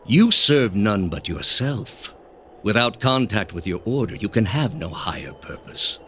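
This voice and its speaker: An actor playing a cold, pompous male grandmaster of an ancient zealous order in a video game, speaking deliberately and with condescension. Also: fairly low pitch, animated, a bit bright, slight background noise. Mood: coldly condescending. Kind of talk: dramatic video game dialogue.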